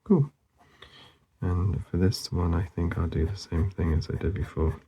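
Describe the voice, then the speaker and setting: A man narrating casually as he draws, laid-back and murmuring, with a husky, gravelly voice that often trails off. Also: low voice, steady tone, normal speed, dark tone. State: losing his voice, hoarse. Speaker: artist hosting a drawing channel. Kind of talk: casual narration while drawing.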